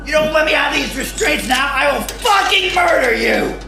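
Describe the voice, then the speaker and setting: A man's voice: very high-pitched, lively intonation, moderate pace, creaky, far from the mic, exaggerated voice. An actor playing a deranged, raging male psychiatric patient, desperate and profane, in a horror audio drama. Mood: enraged, desperate. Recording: roomy echo, off the mic, music.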